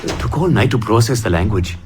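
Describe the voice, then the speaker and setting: An actor playing an attentive research scientist in a video game, talking like a measured colleague. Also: male voice, lowish voice, expressive, over music, natural voice. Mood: measured, interested.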